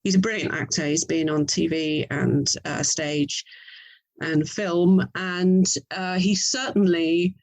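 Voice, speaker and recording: A woman's voice: fairly low pitch, steady tone, takes it slowly, a little mellow, low-quality recording. A middle-aged woman with an English accent talking casually as an interview guest, thoughtful and chatty. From England. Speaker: writer and audio drama producer, interview guest.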